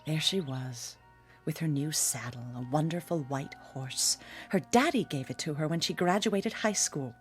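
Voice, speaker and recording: An actress playing a bitter, haunted, hard-drinking woman in her forties in a radio drama, theatrical and sardonic with a husky voice. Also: sits low, normal speed, close to an everyday voice. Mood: brooding, haunted. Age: 40s. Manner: theatrical, sardonic, self-pitying. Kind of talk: old-time radio drama acting. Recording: radio drama with music underneath.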